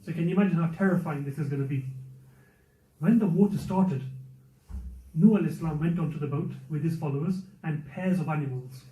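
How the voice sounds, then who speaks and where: A man's voice: fairly high pitch, a little fast, dark tone, recorded from a distance. A man giving a religious lesson to a youth and parents circle, talking earnestly and repeating his main points. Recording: muffled, echoey room, speaker away from mic.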